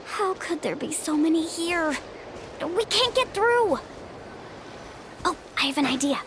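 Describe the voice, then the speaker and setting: A woman voicing an upbeat, confident young woman guide in a video game, expressive and reassuring as she takes charge. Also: sits high, a little fast, noisy background, lightly acted. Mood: urgent, reassuring. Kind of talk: animated video game voice acting.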